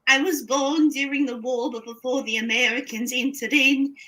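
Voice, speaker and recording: A woman's voice: high voice, fairly even intonation, pushed and bright. A woman playing a rambling, folksy 82-year-old retired librarian on a phone call. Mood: chatty. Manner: slow, chatty, digressive, folksy. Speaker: scam baiter.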